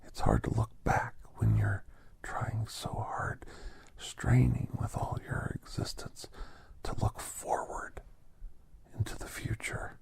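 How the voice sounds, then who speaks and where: A man voicing a gentle, reflective doctor who tells an ASMR holiday story in a breathy, hushed whisper with deliberate pauses. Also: lowish voice, big pitch swings, full character voice. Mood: hushed, reverent. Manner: hushed, deliberate, with long pauses between short phrases. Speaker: narrator of an ASMR story podcast.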